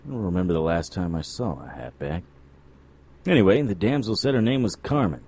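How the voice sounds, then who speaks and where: Male, noir occult detective, low husky voice, world-weary, sardonic, radio drama. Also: expressive, brisk, darkish tone. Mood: wry, brooding. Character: cynical male hard-boiled occult private detective.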